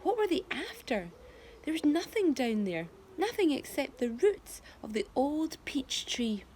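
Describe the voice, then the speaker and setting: A woman's voice: sits high, big pitch swings, measured pace, darkish tone, slight background noise. A middle-aged woman teacher reading a children's book aloud expressively, asking her listeners questions. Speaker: primary school teacher.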